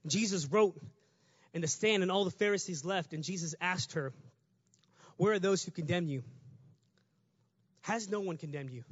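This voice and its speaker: High-voiced man preaching a sermon. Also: dry recording, muffled recording. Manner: conversational, fast, builds to shouting.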